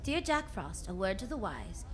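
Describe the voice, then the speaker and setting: A woman's voice: mid-range voice, sing-song, normal speed, fairly bright tone, slight background noise. A woman playing a sassy, unflappable female private detective in a noir radio drama, wry, breezy and slangy. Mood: cool, teasing.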